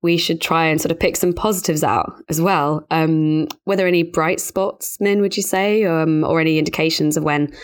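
A woman speaking as a financial journalist in a conversational industry discussion, explaining things in a measured, careful way. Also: deep voice, steady tone, smooth. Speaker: financial journalist co-hosting a podcast.

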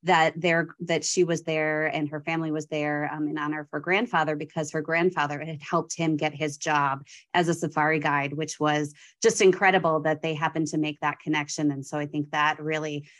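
Low-voiced woman, nonprofit director on a podcast, excited, lots of ums. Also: normal speed. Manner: warm, enthusiastic, frequent um fillers. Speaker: executive director, guest. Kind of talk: podcast interview.

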